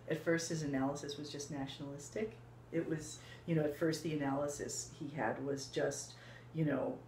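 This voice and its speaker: Measured older woman, roomy sound. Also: low voice, a little mellow. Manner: measured, reflective, explaining. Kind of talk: casual conversation. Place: small room indoors. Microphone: fixed camera, seated. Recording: roomy with faint background hiss.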